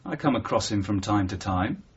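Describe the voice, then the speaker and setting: An actor in a radio drama playing a reserved, courteous police sergeant with a polite, understated and slightly hesitant manner. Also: male voice, lowish voice, animated, brisk, breathy edge. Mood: cautionary. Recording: dull, slightly muffled 1980s radio broadcast.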